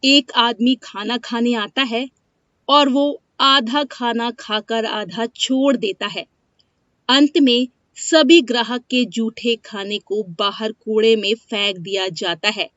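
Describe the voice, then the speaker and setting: Woman narrator for moral tales, unhurried delivery, dry and slightly dull recording. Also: on the high side, a bit bright, no room sound. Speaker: storyteller. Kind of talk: scripted moral-story narration. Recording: dry, dull lo-fi studio sound.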